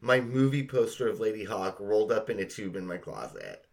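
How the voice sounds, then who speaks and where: A middle-aged man reminiscing casually with a friend as a podcast host, gushing and sweary and quick to laugh. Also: fairly low pitch, animated, vocal fry, slight background noise.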